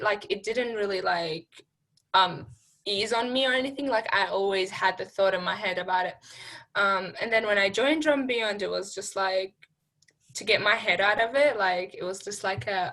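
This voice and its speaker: A woman's voice: mid-range voice, normal speed, a bit bright, low-quality recording. A young woman telling her personal story in an informal interview, peppering her sentences with "like". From born in Iraq, raised partly in Syria, moved to Australia. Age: young adult. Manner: informal, heavy use of "like". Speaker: program graduate, interviewee.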